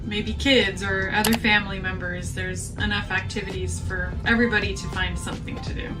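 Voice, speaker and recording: A woman's voice: mid-range voice, level tone, fairly quick. A woman from Montana who runs a home bakery, chiming in with short, matter-of-fact remarks in a casual chat. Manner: brief, matter-of-fact interjections. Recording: distant mic with music behind.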